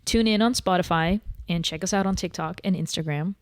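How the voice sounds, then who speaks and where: A young woman from the Netherlands, co-hosting a podcast in relaxed, chatty conversation. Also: on the low side, a little fast, no echo. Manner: relaxed, chatty, many fillers. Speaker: podcast co-host. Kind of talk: casual chat.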